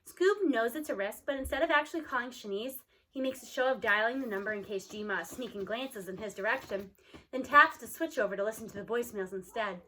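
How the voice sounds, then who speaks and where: A woman reading a storybook aloud as narrator, expressively, with an animated manner for young listeners. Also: on the high side, fairly quick, slight background noise, poor audio. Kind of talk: expressive storybook read-aloud with character dialogue.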